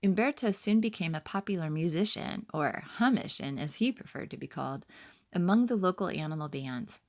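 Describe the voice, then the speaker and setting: A woman narrating her own children's story in an expressive, clearly enunciated manner. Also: on the low side, fairly quick. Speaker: author reading own story.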